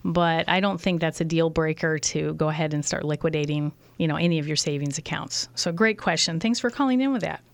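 Lady radio host answering a caller, smooth clear voice, kinda low, dry studio. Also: a little fast, few pauses, dry recording. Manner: friendly, filler-heavy explaining. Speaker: radio show co-host, financial adviser. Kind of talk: answering callers on a call-in show.

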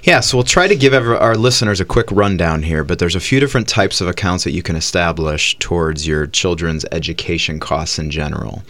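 Low-voiced man explaining retirement finance. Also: expressive, a little fast, few pauses, a bit bright. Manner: patient, methodical explainer. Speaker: financial advisor radio host. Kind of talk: explaining finances on call-in radio.

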